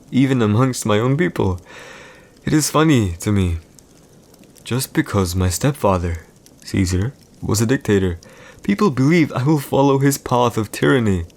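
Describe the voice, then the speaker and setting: A man performs an arrogant villain emperor in an intimate audio roleplay. His voice sits low with a heavy, gravelly creak. He speaks formally and theatrically to one listener, smug and teasing, with hurt pride underneath. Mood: wry, then wounded, indignant. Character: arrogant villainous young male emperor, teasing and secretly wounded.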